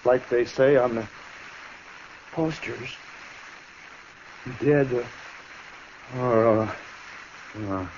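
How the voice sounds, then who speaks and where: Slow-drawling, cold outlaw played by a man. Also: medium pitch, lightly acted. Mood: wounded, fading. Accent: American. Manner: laconic, sardonic. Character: cold, fatalistic male outlaw killer, wryly unrepentant. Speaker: actor playing an outlaw. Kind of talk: 1950s radio western acting. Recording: muffled, hissy old radio recording.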